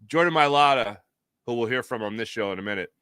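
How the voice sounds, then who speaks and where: An American man with a bright, forward voice delivers a casual sports monologue as a show host. He sounds emphatic and conversational, like someone telling stories to regular listeners. The recording is dry and close, with very little room sound. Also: medium pitch, animated, quick pace, smooth, dry recording. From Philadelphia area, United States.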